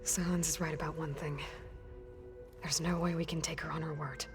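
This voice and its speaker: A woman's voice: low-pitched, level tone, normal speed, natural voice. Woman playing a young warrior leader in a game, serious and commanding, reverby with music. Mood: serious, urgent. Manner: earnest, commanding. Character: determined young female warrior leading a rescue mission. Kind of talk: video game cutscene acting.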